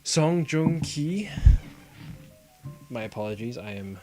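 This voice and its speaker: A man streaming a card game talks mostly to himself at a mid-range pitch, pondering his deck aloud. He breaks off now and then to greet and answer viewers in chat. Music plays clearly behind his voice. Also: expressive, slow pace, a little mellow. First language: not Korean. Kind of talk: casual live-stream commentary while gaming. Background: background music.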